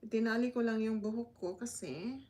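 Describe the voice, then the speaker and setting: A woman's voice: on the high side, a little fast, mellow. A Filipino woman, a native Tagalog speaker, hosting a casual livestream in a chatty, informal manner as she answers comments. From Philippines. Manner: chatty, informal, switching between Tagalog and English. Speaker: livestream host.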